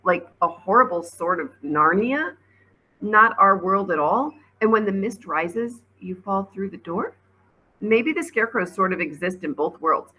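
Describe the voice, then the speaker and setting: A woman's voice: mid-range voice, expressive, a little slow, bright, forceful tone, slightly rough, full character voice, poor audio. A woman voicing a thoughtful, wary, slightly awkward girl in a storybook, reasoning aloud with some hesitation. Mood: uneasy.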